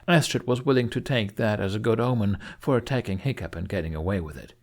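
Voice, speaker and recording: A man reading fiction as an audiobook narrator, in an expressive, scripted storytelling style. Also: fairly low pitch, quick pace.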